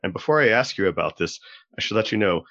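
A man's voice: on the low side, lively intonation, quick pace, a bit bright, muffled recording. A man hosting a podcast, interviewing in a conversational way. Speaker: podcast host.

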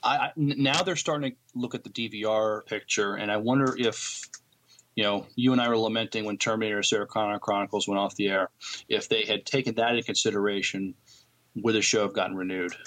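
Some faint noise sits behind this man's voice. He speaks quickly and conversationally, as a co-host casually discussing TV shows. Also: on the low side, fairly even intonation, fairly bright tone. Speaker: podcast co-host. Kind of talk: casual chat about TV shows. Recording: clear background noise with clicks.

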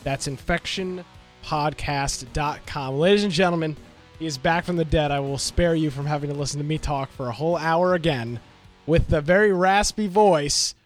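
A man's voice: fairly high pitch, normal speed, faint music behind. Upbeat man hosting a podcast. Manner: upbeat, teasing banter. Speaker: podcast host. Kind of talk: casual podcast chat.

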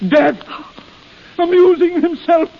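A man's voice: very high voice, fairly even intonation, takes it slowly, vocal fry, full character voice. An actor playing a desperate, honorable duke and father with a formal, earnest manner in 1930s radio drama. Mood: grave dread. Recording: muffled 1930s radio broadcast with long reverb.

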